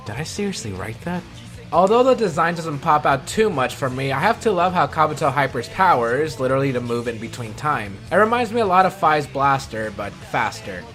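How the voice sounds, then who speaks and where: A man's voice: on the high side, very animated, a little fast, a bit bright. A man hosting a scripted countdown video, delivering commentary with wry, self-deprecating asides. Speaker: video host. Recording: background music under the voice. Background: music.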